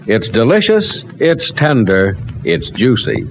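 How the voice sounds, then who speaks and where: A man's voice: medium pitch, very animated, slow. A man reading a commercial as an emphatic, salesmanlike announcer in a 1940s radio style. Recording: dull, noisy old radio transcription.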